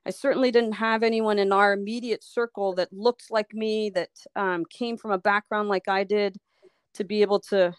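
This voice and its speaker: Smooth, thoughtful middle-aged woman. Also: mid-range voice, steady tone, no echo. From Liberia. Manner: thoughtful, pausing often and restarting phrases. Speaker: sports medicine physician, guest. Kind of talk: reflective personal story in an interview.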